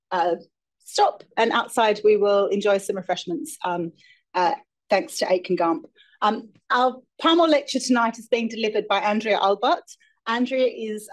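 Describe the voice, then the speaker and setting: Woman host, informal and halting, introducing a speaker at a live event. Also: mid-range voice. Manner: informal and halting, with frequent restarts. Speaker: event host, charity chief executive. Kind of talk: introductory remarks at a lecture. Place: live lecture event with an audience.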